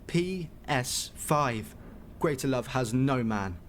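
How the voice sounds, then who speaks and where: A man's voice: medium pitch, animated, slow pace, a little hiss or hum. A scrounging brother in a radio drama. His voice swings about as he wheedles and excuses himself, with faint ambience behind. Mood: defensive, pleading. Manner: wheedling, self-justifying. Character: pleading, evasive, wheedling scrounger of a sibling. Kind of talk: naturalistic radio soap acting.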